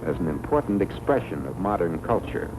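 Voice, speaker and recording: A man reads scripted 1950s educational film narration in a measured, formal way, on a vintage film soundtrack. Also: sits low, sing-song, noisy background. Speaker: documentary narrator.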